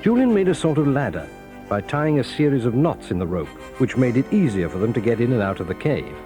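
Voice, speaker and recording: A man narrating a children's story in a calm, expressive storytelling manner. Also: mid-range voice, a little fast, over music.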